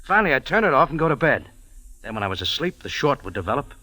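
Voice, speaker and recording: A man's voice: medium pitch, very animated, fast, bright, forceful tone, low-quality recording. A man playing a tough, wry cafe owner and amateur sleuth in an old radio drama, telling it in a clipped, dry, hard-boiled way. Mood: cool, explaining. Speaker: lead actor and first-person narrator in a radio drama. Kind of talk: 1940s-50s hard-boiled radio detective acting. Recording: old radio recording with music behind.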